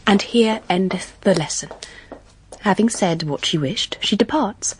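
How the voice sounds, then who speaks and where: An actress playing an earnest, determined young English governess in a period audio drama, with an English accent. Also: female voice, mid-range voice, lively intonation, measured pace, breathy edge. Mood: wry. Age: young adult.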